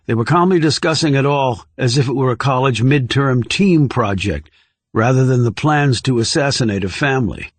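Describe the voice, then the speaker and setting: A man's voice: medium pitch, moderate pace. A man narrating a thriller audiobook in a controlled, serious, scripted style.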